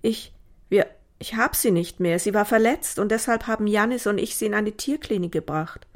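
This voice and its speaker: Storybook role: anxious, bewildered schoolgirl, played by a woman, agitated, halting, lifted pitch. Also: lightly acted. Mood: upset. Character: Nila, modern young girl, bewildered and anxious.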